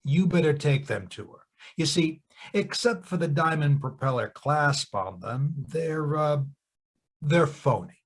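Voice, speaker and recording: An actor playing a laconic, shrewd police lieutenant in an audio drama, speaking in a terse, dry, husky way. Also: male voice, on the high side, expressive, a little slow. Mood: knowing, firm.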